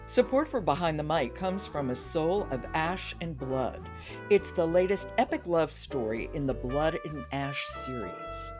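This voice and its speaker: A woman's voice: low voice, expressive, a little slow, over music. A woman hosting a conversational podcast about books. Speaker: podcast host.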